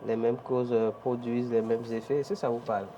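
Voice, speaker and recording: A man's voice: mid-range voice, level tone, mellow, lo-fi sound, slight background noise. A man with a Beninese French accent hosting a morning TV press review, reading headlines and prompting his guest. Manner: brisk presenter, reads headlines, frequent mhm. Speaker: host.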